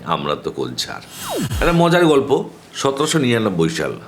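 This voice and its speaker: A man narrating humorous anecdotes in a conversational way, with dramatic pauses. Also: on the low side, a bit bright, a little hiss or hum. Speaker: storyteller.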